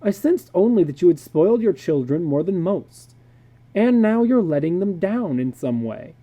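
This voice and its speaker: Reader voicing an emphatic male psychologist, pitched high, mellow. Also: very animated, moderate pace. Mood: emphatic, admonishing. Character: David McLean, brisk blunt worldly male psychologist.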